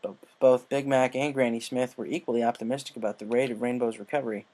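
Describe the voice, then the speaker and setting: A man's voice: mid-range voice, level tone, fast, darkish tone. A man reading a scripted story aloud as narrator, in audiobook style.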